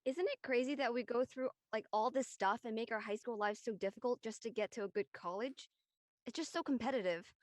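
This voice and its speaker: A woman's voice: on the high side, brisk, darkish tone, no echo, lightly acted. A woman playing an uptight, driven teenage sister, blunt and earnest, in a scripted drama. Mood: earnest, stressed.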